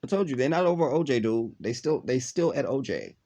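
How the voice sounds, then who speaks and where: A man's voice: medium pitch, big pitch swings, warm tone. A congested man with a stuffy voice, casually talking to viewers in a reaction vlog, with lots of ums and trailing phrases. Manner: rambling with many ums, drawn-out words. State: congested from a cold. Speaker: video reaction host.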